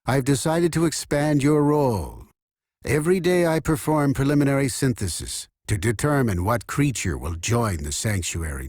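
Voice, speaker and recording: A man playing an imperious, scholarly artificial intelligence in a video game, speaking in a formal, pompous, deliberate way like an obsessive archivist. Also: medium pitch, darkish tone, slightly rough, no echo, studio quality. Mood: grandiose, solemn. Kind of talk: scripted video game character dialogue.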